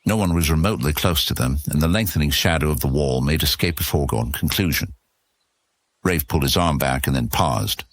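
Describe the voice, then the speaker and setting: A man's voice: a bass voice, fairly quick, no echo. A man narrating a scripted fantasy story as the narrator of an audio serial.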